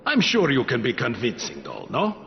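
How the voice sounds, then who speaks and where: An actor playing a calm, wry henchman who hands out jobs in a video game, measured and sardonic. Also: mid-range voice, creaky. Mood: smooth, menacing. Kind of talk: cinematic video game acting. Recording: muffled game capture, faint noise.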